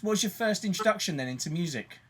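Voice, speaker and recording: A man's voice: high-pitched, animated, fast, fairly bright tone. A man from England hosting an interview, asking casual questions with short prompts and informal grammar. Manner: informal, short prompts, says "you was". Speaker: interview host.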